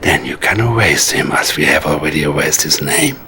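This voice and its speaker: An actor playing a coldly calm, scheming Soviet psychic advisor in a video game cutscene, with a Russian accent and measured, condescending menace. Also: male voice, rumbling low, slight background noise, full character voice. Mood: solemn, cold.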